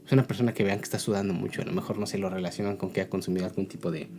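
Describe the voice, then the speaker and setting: A man's voice: sits low, fairly even intonation, rapid-fire. A man with a Mexican accent giving a casual, explanatory opinion talk as a video blogger, often checking in with tag questions.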